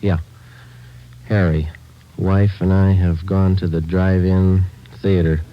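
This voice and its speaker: An actor playing a terse, businesslike police detective sergeant in a 1940s radio crime drama, clipped and matter-of-fact. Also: male voice, sits low, little pitch movement, takes it slowly. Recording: dull vintage radio recording, music behind.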